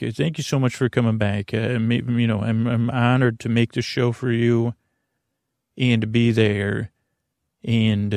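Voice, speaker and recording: A man hosting a sleep podcast in a meandering, halting monologue, with a creaky voice, often repeating himself and trailing off mid-thought. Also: mid-range voice, a little mellow, dry recording, clean studio sound. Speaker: podcast host.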